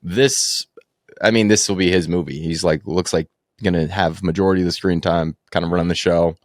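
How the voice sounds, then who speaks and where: A man's voice: low-pitched, steady tone, a little fast, dry recording, studio quality. A man talking casually and bluntly as a movie podcast panelist, in an informal conversational style. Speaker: movie podcast co-host or guest. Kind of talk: casual movie-review chat.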